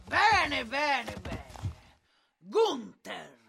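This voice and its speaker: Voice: high voice, sing-song, drawn-out words, sharp tone, exaggerated voice. A performer acting a sneering, quarrelsome soldier ransacking a house in a radio drama, coarse and mocking. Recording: clearly noisy sound bed with clicks.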